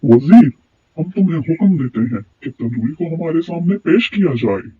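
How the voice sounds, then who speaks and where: A man's voice: on the high side, expressive, moderate pace, natural voice. A man playing an authoritative king in a moral cartoon story, speaking in a formal, regal, commanding way. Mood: stern command. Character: authoritative king, commanding, concerned for his subjects. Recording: tinny phone-like sound, music bed.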